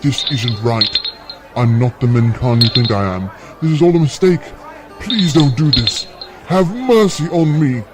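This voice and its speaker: A man's voice: mid-range voice, expressive, music in the background, lightly acted. Man acting a desperate, pleading condemned prisoner. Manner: pleading, halting. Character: desperate condemned male prisoner begging for mercy. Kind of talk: audio drama acting.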